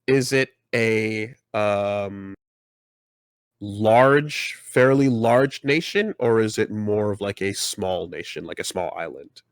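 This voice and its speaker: A man with a low voice hosts a loose, casual conversation. He rambles and halts, stacking up fillers as he talks. The recording is dry and close-miked. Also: no echo. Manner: rambling, halting, many fillers. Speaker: podcast host. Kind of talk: casual chat.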